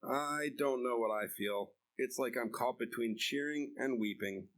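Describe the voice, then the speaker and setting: A man's voice: medium pitch, a little slow, a bit bright, close to an everyday voice. A man playing a skeptical townsman who keeps questioning, in an amateur church dramatic reading. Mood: doubtful. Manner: doubting, questioning.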